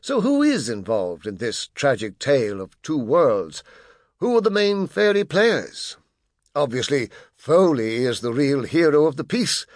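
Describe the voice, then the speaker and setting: A man's voice: medium pitch, big pitch swings, unhurried, dry recording, studio quality. A man reading a children's fantasy audiobook as narrator, telling the story expressively with emphatic stresses.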